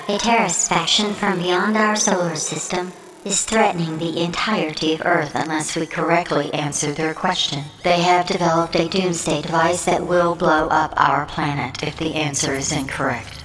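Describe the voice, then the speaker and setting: A woman's voice: low-pitched, fairly even intonation, normal speed, a bit bright. A woman playing a grave president of a planetary federation, announcing solemnly and urgently in a mock sci-fi emergency transmission. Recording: echoey, noisy transmission-style recording.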